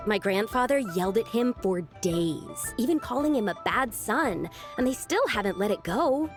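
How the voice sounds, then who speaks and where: An earnest young girl from a video game, played by a woman, with a fairly high, lively voice. She sounds determined and plain-spoken. There is faint music behind her. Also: moderate pace, natural voice. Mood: matter-of-fact, grumbling. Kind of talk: video game character acting.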